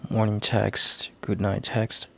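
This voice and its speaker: Man playing tender worried boyfriend, intimate audio roleplay, very slow halting delivery, husky breathy voice, hushed, heartfelt. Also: lowish voice, monotone, full character voice. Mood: pained worry. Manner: halting, tender, hushed, sighing between phrases. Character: gentle worried boyfriend, relieved and heartfelt, on a call.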